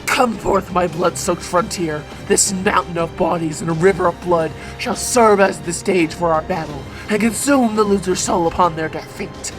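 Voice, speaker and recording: A woman's voice: on the low side, normal speed, hardly any pauses, over music, natural voice, low-quality recording. Woman putting on a crazed demonic sorcerer villain. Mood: menacing, triumphant. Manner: grandiose, ranting, theatrical. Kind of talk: villain monologue from a game.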